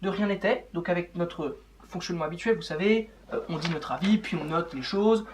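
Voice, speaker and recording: A man's voice: high-pitched, a little fast, background noise. A young man, chatting informally as the host of a football show. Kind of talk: casual unedited football chat.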